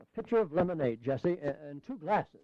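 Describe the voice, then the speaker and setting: An actor playing a frail, droll old delegate in an audio drama, grumbling with dry humour. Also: male voice, on the high side, expressive, a little fast, dull audio, background noise, close to an everyday voice. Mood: hospitable. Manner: grumbling, dryly humorous.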